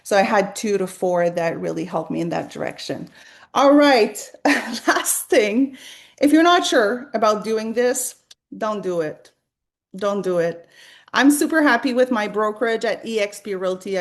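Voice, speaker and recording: A woman's voice: medium pitch, normal speed. Chatty woman giving study tips. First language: French. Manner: chatty, encouraging, many fillers and restarts. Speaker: real estate agent. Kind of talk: casual tutorial vlog.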